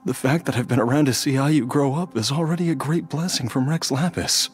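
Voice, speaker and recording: A man voicing a gentle, resigned middle-aged husband and father near death in a game, speaking softly and haltingly. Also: medium pitch, slightly rough, faint music behind, close to an everyday voice. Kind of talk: game voice acting.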